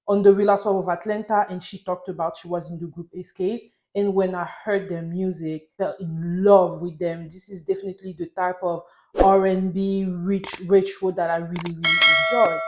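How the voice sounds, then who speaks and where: A woman's voice: mid-range voice, darkish tone. A woman video host with a French accent recaps a reality show in a casual way. She is a native French speaker from Paris. Her talk is chatty and enthusiastic, like a fan filling in friends. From Paris, France. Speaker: YouTube host reviewing reality TV.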